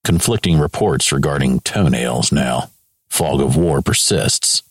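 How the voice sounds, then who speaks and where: A man reading as a wartime serviceman writing his diary, clipped and terse, with a gravelly, husky voice. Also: extremely low pitch, lively intonation, unhurried, dry recording, studio quality, exaggerated voice. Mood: terse, matter-of-fact.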